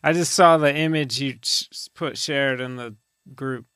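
A man's voice: medium pitch, moderate pace, fairly bright tone. A man co-hosting a podcast in casual chat, with a wry, teasing way of talking. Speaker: podcast co-host.

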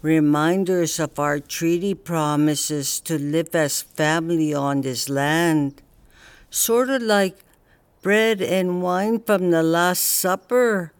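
An actor playing an older Northern Cree man, a patient elder who teaches in a slow, gentle way. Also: on the high side, smooth, no room sound. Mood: reflective. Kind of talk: audio drama acting.

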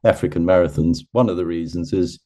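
Middle-aged guy from zimbabwe, low mellow voice, rambling self-deprecating race stories. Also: a little fast. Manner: chatty, self-deprecating, laughs at own stories. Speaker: podcast guest, ultra runner. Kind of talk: casual storytelling interview.

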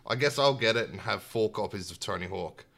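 A man's voice: fairly low pitch, brisk, vocal fry, fairly bright tone. A man with an Australian accent hosting a gaming podcast in a casual, informal, jokey way. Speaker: podcast host. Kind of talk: casual gaming podcast chat and news rundown.

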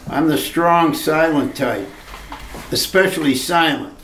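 A man playing a germ-phobic male gangster in a retro radio drama, talking gruffly in tough-guy slang. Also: fairly high pitch, slow pace, fairly bright tone, a little hiss or hum. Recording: roomy echo.

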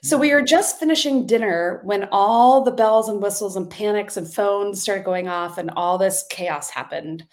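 A woman's voice: mid-range voice, normal speed, hardly any pauses. A woman co-hosting a podcast, telling a story in casual chat with many ums and restarts. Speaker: podcast co-host.

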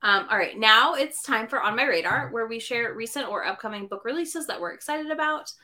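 A woman's voice: fairly high pitch, lively intonation, a little fast, sharp tone. A woman hosting a podcast, chatting casually about a book and thinking aloud as she goes. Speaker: podcast host.

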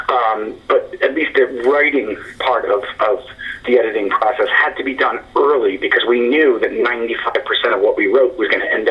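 A man in his forties answers interview questions at a reflective pace, often pausing and restarting his thoughts. His voice sits in the middle range and comes through muffled, with the dull sound of a line picked up from some distance away. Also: mid-range voice. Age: 40s. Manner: thoughtful, hesitant, many fillers and restarts. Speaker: screenwriter guest. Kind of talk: interview conversation.

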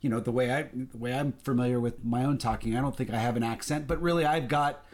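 A man with a Californian accent, chatting casually and giving his opinions in a relaxed way. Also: medium pitch, quick pace. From Southern California. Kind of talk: casual chat.

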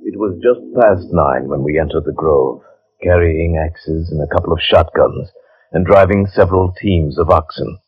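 An actor playing a troubled gentleman narrator in a dramatic 1940s radio drama style. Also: low voice, moderate pace, lightly acted. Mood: brooding. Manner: dramatic, hushed narration breaking into anguished pleading. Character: troubled gentleman narrator, haunted and torn by longing. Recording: dull 1940s radio recording.

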